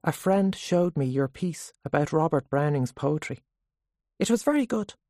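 A narrator voicing a sharp, teasing young nationalist woman with a challenging, questioning manner, in an audiobook story. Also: mid-range voice, lively intonation, moderate pace, dry recording, clean studio sound, pitched above the performer's own voice, lightly acted. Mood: friendly, eager.

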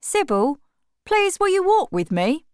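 A woman's voice: very high-pitched, very animated, unhurried, no echo, clean studio sound, pitched above the performer's own voice, heavily acted. A woman reading the part of an earnest sixteen-year-old brother in a storybook. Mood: eager. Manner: earnest, protective. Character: young man of sixteen, blunt and protective.

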